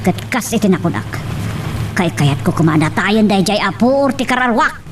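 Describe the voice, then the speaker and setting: A woman's voice: mid-range voice, lively intonation, normal speed, far from the mic, background noise, natural voice. A woman playing a scheming, commanding wealthy mother in a radio-style drama. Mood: menacing.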